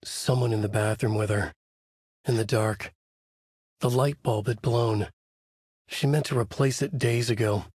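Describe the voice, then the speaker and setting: A haunted writer from a horror video game, husky and close, with a very dry sound. He is brooding and grave, delivering his words deliberately like noir narration. His pitch sits in an ordinary male middle range. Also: steady tone, a little mellow, no room sound. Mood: brooding, ominous.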